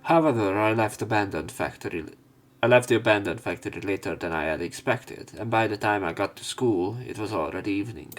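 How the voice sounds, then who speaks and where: A man's voice: lowish voice, brisk, faint music behind. A man reading a visual novel's narration and dialogue aloud for a let's play.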